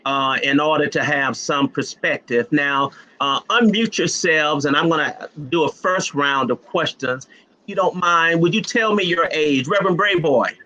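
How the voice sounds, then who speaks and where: A man's voice: on the high side, normal speed, sharp tone, low-quality recording. A man moderating an online panel conversation in a familiar, teasing and encouraging way. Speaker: host and moderator. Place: online video call.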